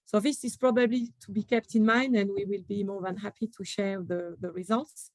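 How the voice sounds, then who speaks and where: A woman's voice: medium pitch, fairly even intonation, normal speed, no room sound. A woman from France with a French accent, speaking on a conference panel with many fillers and restarts.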